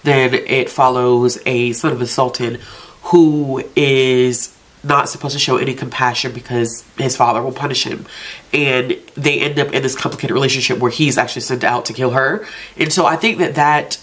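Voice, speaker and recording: A woman's voice: extremely low pitch, steady tone, normal speed. Congested woman chatting about her reading list. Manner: chatty, self-chiding, talks herself into reading. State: congested from allergies. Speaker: book vlogger, channel host. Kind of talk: casual book-list vlog.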